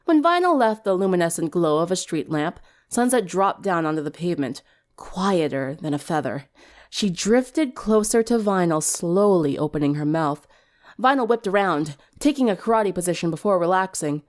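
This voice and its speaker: Animated woman narrating, slightly low voice. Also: normal speed. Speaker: fan fiction reader. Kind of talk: dramatic story narration.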